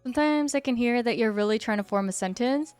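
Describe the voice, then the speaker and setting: A young woman in her twenties chats casually with her stream in a laid-back, talkative way. Her voice sits a little high and sounds smooth and clear. It is recorded dry and close on a desk mic, with faint music behind her. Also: quick pace, darkish tone, no room sound. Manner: laid-back, chatty, reads chat aloud, says bro. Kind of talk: casual live stream chat.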